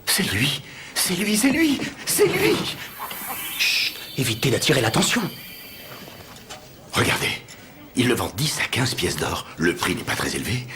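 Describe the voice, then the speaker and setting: A noisy amateur dub carries a man acting as a jittery, scheming fantasy bargainer. His pitch swings wildly from low to high, and his delivery is urgent and theatrical. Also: wildly swooping pitch, a little slow, pauses often. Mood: urgent, hushed. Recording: amateur dub with loud background noise.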